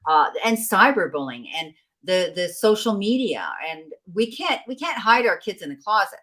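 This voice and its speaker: A middle-aged woman hosting a podcast interview, talking in a chatty, agreeable way and quickly affirming her guest. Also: mid-range voice, sing-song, pushed and bright. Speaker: podcast host.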